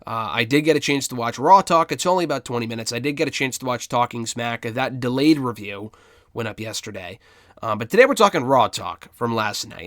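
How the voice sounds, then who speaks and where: A man's voice: medium pitch, a bit bright, no echo, clean studio sound. A congested man with a cold, giving a blunt, opinionated review with frequent ums and the odd swear word. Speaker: wrestling review podcaster. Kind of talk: casual solo review commentary.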